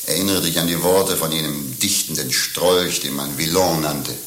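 A coarse, cynical pimp in a satirical radio play. His voice is very low and forceful, sly and boastful, with knowing pauses. The room rings a little and a faint hiss lies underneath. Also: fairly even intonation, roomy sound. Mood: wry, reflective. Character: cynical, coarse male pimp, bragging and mock-tender.

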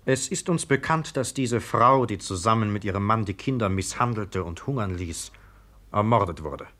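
An actor playing a stern, methodical police sergeant in a 1950s radio play, speaking in a brisk, probing, official way. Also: male voice, fairly low pitch, lively intonation, fairly bright tone. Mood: grave, factual.

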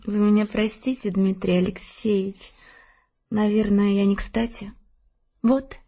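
A woman's voice: mid-range voice, little pitch movement, a little slow, warm tone, breathy edge, highs cut off. An actress playing an apologetic, shy young woman visitor in an audio drama, hesitant and polite.

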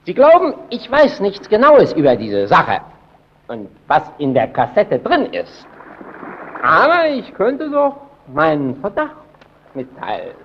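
An actor playing a needling, evasive, resentful lighthouse keeper in an old German radio drama, with deliberate, insinuating theatrical phrasing. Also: male voice, high voice, huge pitch swings, full character voice. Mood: accusing, threatening. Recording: dull, noisy old radio recording.

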